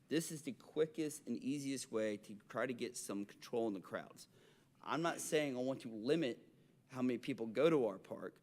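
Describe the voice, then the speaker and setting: A man with a Southern accent from Alabama, speaking at a city council meeting in a halting way, with restarts and fillers. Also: medium pitch. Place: council chamber.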